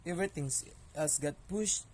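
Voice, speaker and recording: A man's voice: on the high side, normal speed, darkish tone, a little hiss or hum, natural voice. A man playing an earnest digestive system testifying in amateur reader's theater, explaining formally. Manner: explanatory, formal courtroom address.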